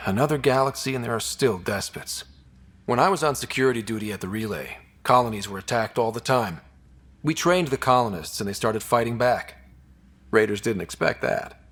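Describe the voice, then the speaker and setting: An actor playing a wry, steady young expedition leader in a video game, with a husky voice. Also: male voice, medium pitch, moderate pace. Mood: determined. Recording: game dialogue over faint music.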